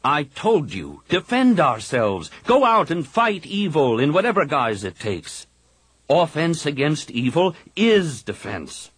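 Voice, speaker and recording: A man's voice: on the high side, big pitch swings, takes it slowly, breathy edge, dry recording, pitched above the performer's own voice, exaggerated voice. Cocky young Jedi hothead, read by a man, raised voice, dry close sound. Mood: defiant. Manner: insistent, forceful. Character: brash young male Jedi pilot, defiant.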